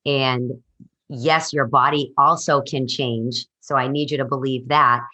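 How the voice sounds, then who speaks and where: A woman's voice: extremely low pitch, steady tone, measured pace, bright, forceful tone, highs cut off. A woman in her forties, a fitness coach, speaking in an emphatic, encouraging way. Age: 40s. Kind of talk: motivational interview answers.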